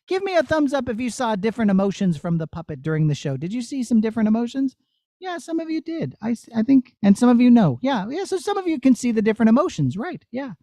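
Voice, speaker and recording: High-voiced man teaching puppetry, dry sound. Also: dark tone, no echo. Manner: encouraging, conversational, checking in with listeners. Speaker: puppeteer giving a master class. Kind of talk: casual explanation and demonstration for children.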